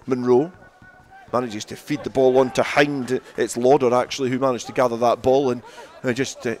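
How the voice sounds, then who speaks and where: A man's voice: mid-range voice, fairly even intonation, normal speed. A man giving live play-by-play rugby commentary from the ground.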